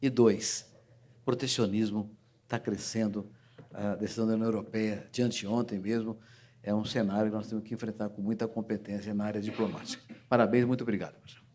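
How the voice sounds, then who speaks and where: A man's voice: on the low side, steady tone, a little fast, darkish tone, a little hiss or hum. A man moderating a panel, emphatically thanking one speaker and handing over to the next.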